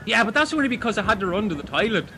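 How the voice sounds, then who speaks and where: Man voicing a twitchy Irish castaway, bright, lively pitch. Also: racing, slight background noise, exaggerated voice. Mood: pleading. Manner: hot-headed, swearing.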